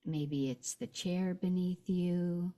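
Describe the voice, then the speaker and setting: A woman leading a guided meditation and visualization in a gentle, unhurried manner with long pauses. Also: fairly low pitch, steady tone.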